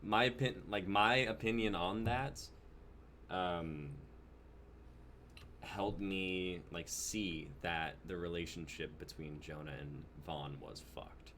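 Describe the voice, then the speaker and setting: Young dude, deep voice, casual stream host, bit of background noise. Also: male voice, takes it slowly, a bit bright. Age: young adult. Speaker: host of a script-reading livestream. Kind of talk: casual livestream group chat.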